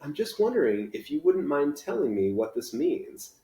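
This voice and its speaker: Echoey room, man acting a playful male teacher, encouraging, emphatic, theatrical, raised lively pitch, storybook read-aloud. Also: moderate pace. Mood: gentle. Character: upbeat, encouraging male schoolteacher, playful and kind. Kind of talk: children's novel read aloud.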